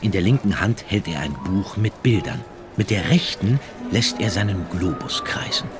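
A man narrates a scripted radio play. His lowish voice moves expressively, set over loud music and a busy crowd. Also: expressive, normal speed.

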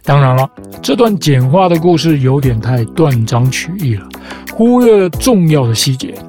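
A man hosting a podcast, calmly narrating a scripted explanation in a measured storytelling manner. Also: on the high side. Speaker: podcast host. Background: background music.

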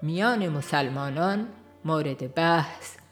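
A woman reading scripted audiobook narration in a measured, formal manner. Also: deep voice, music in the background. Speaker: audiobook reader.

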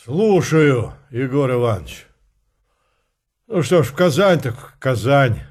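A resigned old-school courier. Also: male voice, mid-range voice, sing-song, unhurried, darkish tone. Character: weary male courier, stoic and resigned. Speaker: radio drama actor. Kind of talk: radio play acting.

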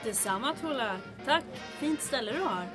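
Woman playing a high-voiced, plucky wartime agent. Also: lively intonation, fairly bright tone. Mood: friendly. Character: plucky, wry female wartime resistance agent. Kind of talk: comedic audio drama acting. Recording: music and background sound effects behind voice.

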